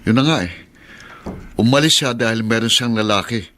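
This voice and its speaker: A man's voice: medium pitch, moderate pace, fairly bright tone, lightly acted. A man acting a stubborn, suspicious, defensive young husband in a Filipino radio drama, curt and indignant.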